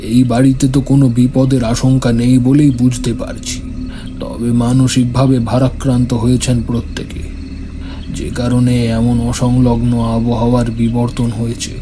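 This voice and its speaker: A man's voice: medium pitch, completely flat delivery, moderate pace, over music, close to an everyday voice. A man playing a stern, authoritative tantric holy man who admonishes formally in a horror audio drama. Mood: grave, assured.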